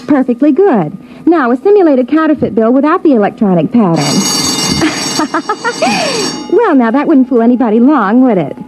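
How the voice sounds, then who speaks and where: A woman playing a chirpy young tour guide with rehearsed, patient patter in 1950s radio acting style. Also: fairly high pitch. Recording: muffled, noisy vintage radio recording.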